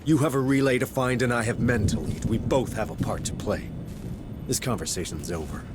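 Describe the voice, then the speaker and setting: A man playing a gruff, guarded Marine sergeant in a video game, speaking tersely and firmly. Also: medium pitch, a little fast, heavy background noise, lightly acted. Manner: terse, firm.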